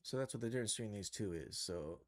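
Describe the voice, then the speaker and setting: A man giving an unscripted software tutorial on a livestream, halting and thinking aloud as he works. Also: lowish voice, lively intonation, dry recording. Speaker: livestream host and game developer.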